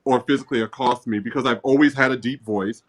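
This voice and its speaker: A man speaks as a guest on an online talk show, confident and at ease. He leans into a preacherly cadence. His pitch sits in an ordinary middle range for a man. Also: fairly even intonation, fast. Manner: confident, preacherly, quotes scripture. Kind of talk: casual chat.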